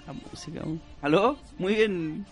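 A young man with a Chilean accent bantering crudely and excitably as co-host of a comedy show. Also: sits high, unhurried, husky. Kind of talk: casual joking banter. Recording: faint music behind the voice.

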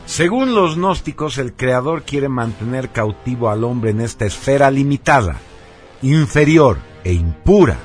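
A man reading a scripted radio narration in a solemn, measured and emphatic manner. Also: mid-range voice, big pitch swings. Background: music.

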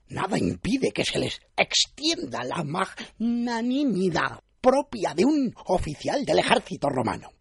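A man playing the narrator of a Roman tale and the pompous, corrupt officer he quotes, in formal theatrical storytelling with a husky, creaky voice. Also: high voice, no echo, studio quality, heavily acted.

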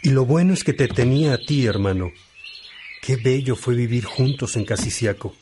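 An actor playing a fatherly, unhurried priest teaching his young brothers in a radio drama, with birdsong behind him. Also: mid-range voice, background noise, lightly acted. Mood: fatherly, content. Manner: fatherly, unhurried, addressing followers affectionately.

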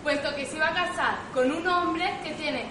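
High-voiced brassy jilted woman played by an actress. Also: moderate pace, close to an everyday voice. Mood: aggrieved, sarcastic. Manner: sarcastic, slangy, defiant. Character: brassy, aggrieved jilted working-class mother, sharp-tongued. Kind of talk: amateur comic radio theatre. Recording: roomy stage recording with loud background noise.

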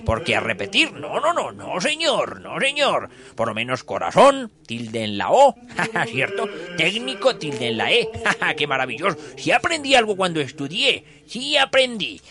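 Guy doing a jolly old colombian padre for radio comedy, high bright voice, folksy, repeats himself. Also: wildly swooping pitch, a little slow, exaggerated voice. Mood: playful, approving. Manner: folksy, chuckling, repeats phrases, exclaims. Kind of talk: comic radio litany sketch.

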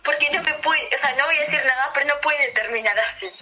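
Young Argentine woman co-host, piercingly bright, high voice, chatty and informal. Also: fast. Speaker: radio show co-host. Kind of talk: casual chat, film and series recommendations.

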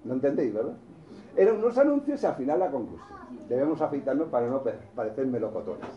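A man's voice: mid-range voice, big pitch swings, fast, echoey room, dull audio, a little hiss or hum. A man with a Spanish accent from Spain, preaching a sermon in a storytelling way, with restarts and asides to the congregation.

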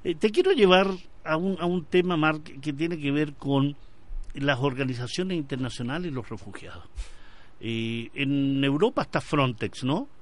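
A man's voice: on the high side, animated, normal speed. A Chilean man hosting a conversational radio interview, often repeating words as he searches for a phrase. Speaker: radio show host.

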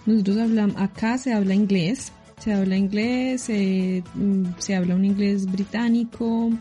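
A Colombian woman answering interview questions in a chatty, relaxed way, often laughing. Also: mid-range voice, measured pace, faint music behind. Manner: chatty, laughing, many restarts. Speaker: guest living abroad.

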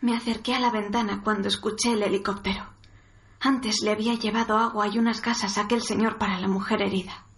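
A woman's voice: mid-range voice, little pitch movement, quick pace, lightly acted. A woman playing a survivor who recounts events in a radio serial. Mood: recounting, uneasy. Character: woman survivor giving her account. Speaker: actor in an audio drama.

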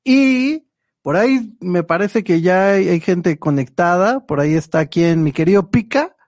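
A man's voice: high-pitched, expressive, measured pace, darkish tone, dry recording, clean studio sound. A man hosting a casual live stream, chatty and teasing, dropping Japanese words into his talk.

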